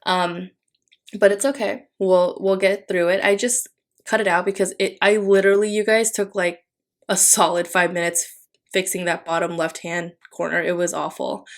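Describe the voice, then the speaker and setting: A young woman from the Philippines, Tagalog as her first language, with a voice sitting a little low. She talks in a relaxed, rambling way, full of fillers and restarts, as a home voice-over for her planner video. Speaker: planner vlogger. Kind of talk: casual voice-over while decorating a planner.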